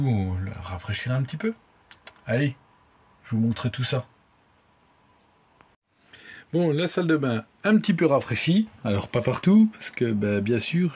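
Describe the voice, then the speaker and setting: A young man talks informally to his phone at arm's length. His delivery is wry and colloquial, at a middling pitch. There is faint noise around his voice. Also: a little mellow. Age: young adult. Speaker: vlogger. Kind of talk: casual vlog chat.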